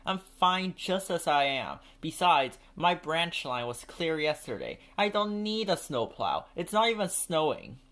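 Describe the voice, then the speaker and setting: A man's voice: on the high side, sing-song, measured pace, few pauses, fairly bright tone, voice pushed up. A man voicing a stubborn, self-conscious young steam engine in a storybook, with a grumbling, reluctant manner. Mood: defiant.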